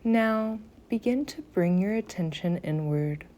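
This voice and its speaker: A woman guiding a meditation in a soothing, gentle manner, softly breathy at phrase ends, with long pauses between short phrases. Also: medium pitch, lively intonation. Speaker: yoga nidra meditation guide. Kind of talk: slow guided meditation.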